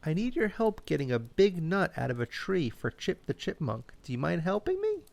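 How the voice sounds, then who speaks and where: A man's voice: fairly high pitch, wildly swooping pitch, normal speed, gravelly, pitched above the performer's own voice, heavily acted. A man voicing a cheerful, helpful young raccoon in a children's bedtime story, upbeat and good-natured. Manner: upbeat, willing.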